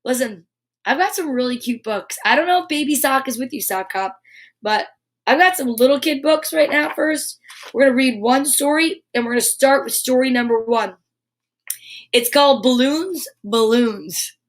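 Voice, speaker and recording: A woman with a high voice hosts a live show for children. She talks straight to her viewers in an upbeat, chatty style. Her delivery is exuberant, emphatic and encouraging. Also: normal speed. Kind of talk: upbeat live chat to viewers, reading picture books aloud.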